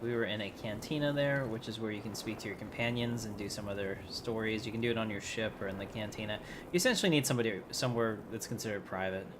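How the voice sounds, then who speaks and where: Low-voiced male game streamer over noticeable noise. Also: quick pace, few pauses. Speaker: livestreamer, gamer. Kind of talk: casual game commentary.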